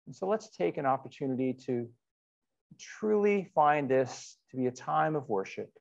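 A middle-aged man, a pastor speaking conversationally and a little haltingly as he leads online church worship. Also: mid-range voice, expressive, creaky, lo-fi sound. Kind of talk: informal church announcements and worship leading.

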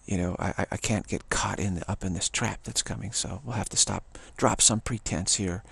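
Gravelly, husky older man musing. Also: fairly low pitch, fairly even intonation, fairly bright tone. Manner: halting, repeats words, chuckles often. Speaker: spiritual teacher. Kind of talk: rambling spiritual monologue. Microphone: action camera.

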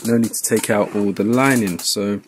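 Male how-to vlogger, fairly low pitch, casual and unscripted, talking through the task at hand. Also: fairly even intonation, normal speed, a little hiss or hum. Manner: informal, thinking aloud while working. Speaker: vlogger giving a how-to tutorial. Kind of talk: casual hands-on tutorial narration. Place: indoors at a wooden table in a home room.

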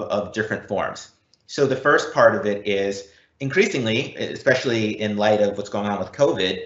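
A man's voice: on the low side, a bit bright. A man giving a webinar presentation as a technical speaker. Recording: roomy echo, slightly dull.

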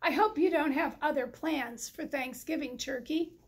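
A woman's voice: high voice, moderate pace. A woman voicing a kindly, determined male moose in a storybook, earnest and polite, with emphatic calls. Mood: polite, pleased.

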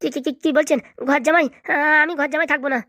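A woman's voice: high voice, steady tone, fast talker, pushed and bright, dry recording, studio quality. A woman voicing a meek young husband who pleads hesitantly in a comic cartoon. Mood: flustered, meek. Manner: hesitant, placating, stammering. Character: meek, pleading young student husband, henpecked son-in-law. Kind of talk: comic cartoon drama dialogue.

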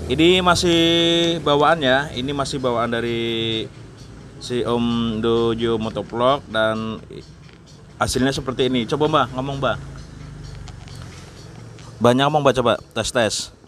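A man's voice: mid-range voice, steady tone, unhurried, pauses often, fairly bright tone. A man hosting a casual product test, speaking in a hesitant, repetitive way. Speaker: vlog host. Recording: clearly noisy, vehicle ambience.

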